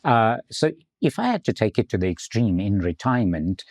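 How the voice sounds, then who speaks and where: A man from South Africa, an insurance executive, explaining finance in a measured way in an interview. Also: on the low side, sing-song, a little mellow, no room sound, studio quality. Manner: measured, explanatory, some restarts.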